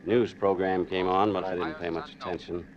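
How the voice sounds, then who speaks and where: A man's voice: low voice, little pitch movement, moderate pace, a crowd in the background, natural voice. An actor playing a plainspoken middle-aged family man, narrating and talking in a 1940s radio drama. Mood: matter-of-fact. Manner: plainspoken, folksy. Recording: muffled old radio broadcast recording.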